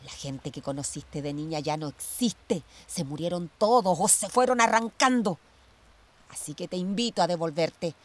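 An actress playing a bitter, domineering ex police commander who speaks with contempt and command in a radio play. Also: female voice, fairly low pitch, animated, moderate pace. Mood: scornful. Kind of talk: radio theatre dialogue.

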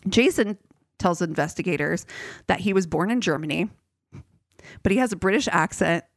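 A woman's voice: fairly low pitch, normal speed, dry recording. Female podcast co-host, casual crime storyteller, curses a lot, dry studio mic. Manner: swears freely, animated retelling with quoted voices.

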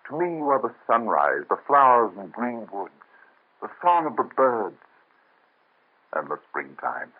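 An actor playing an ardent young husband, speaking earnestly in a 1930s radio drama style. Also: male voice, mid-range voice, sing-song, unhurried, long pauses, slightly rough, exaggerated voice. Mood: adoring. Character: ardent young husband hiding in revolutionary Paris. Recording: tinny, scratchy vintage radio broadcast.

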